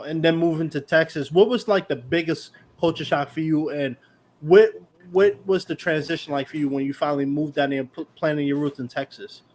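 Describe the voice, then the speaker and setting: A man from New York hosting an interview, asking curious, rambling questions full of restarts and fillers. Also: on the high side. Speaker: podcast host. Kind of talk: casual interview conversation.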